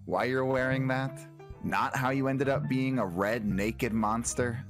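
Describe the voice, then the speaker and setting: A man's voice: medium pitch, unhurried, soft background music, natural voice. A man voicing a sarcastic, defensive young insect superhero in a cartoon comic. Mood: sarcastic, explanatory. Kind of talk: animated comic dialogue.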